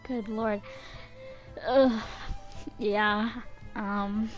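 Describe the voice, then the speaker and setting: A woman's voice: medium pitch, fairly even intonation, drawn-out words, muffled recording, faint music behind. A woman streaming a game, giving casual, slangy commentary in short, informal reactions. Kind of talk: casual live commentary while gaming.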